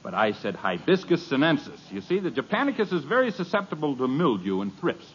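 An actor playing a fussy neighbor who is a pedantic, polite amateur gardener, in 1950s radio comedy. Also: male voice, on the high side, big pitch swings, fairly quick, slight background noise. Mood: prim. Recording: muffled old radio broadcast.

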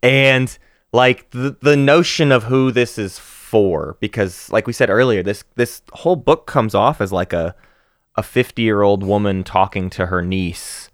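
Low-pitched guy on a book podcast, lively, lots of "like" and "um", dry close mic. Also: measured pace, no room sound. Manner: animated, laughs often, many fillers and restarts. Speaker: podcast co-host. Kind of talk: casual book discussion.

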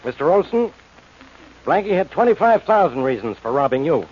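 An actor playing a suspicious police inspector in an old-time radio crime drama. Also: male voice, on the high side, very animated, brisk. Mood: insistent suspicion. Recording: dull, noisy old radio broadcast.

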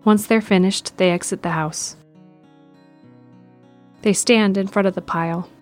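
A woman's voice: mid-range voice, fairly even intonation, unhurried, soft background music. A woman narrating a scripted audio drama in the present tense, describing the action.

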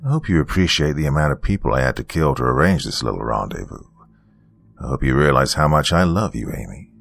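A man voicing a charming but menacing serial killer in a thriller story, deep-voiced, smooth, taunting and boastful. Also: animated, unhurried, a bit bright, no room sound, heavily acted. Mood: mock tender.

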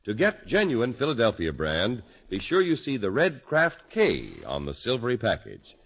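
A man's voice: medium pitch, wildly swooping pitch, normal speed, fairly bright tone, breathy edge. A man announcing and reading advertising copy in a scripted 1950s radio style. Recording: dull vintage radio broadcast recording.

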